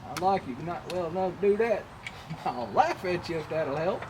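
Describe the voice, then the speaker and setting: Teasing country guy from oklahoma, kinda high voice, loud shop noise all around. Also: animated, moderate pace. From Arkansas and Oklahoma, USA. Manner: teasing, joking country talk. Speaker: mechanic friend helping pull an engine. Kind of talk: casual chat while working. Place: home garage.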